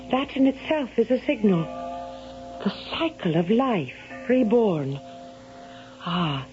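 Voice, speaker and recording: An actress playing an earnest, pious young daughter in a radio drama, speaking in a theatrical way. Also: female voice, lowish voice, a little mellow. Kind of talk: 1970s radio drama acting. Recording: dull old radio recording.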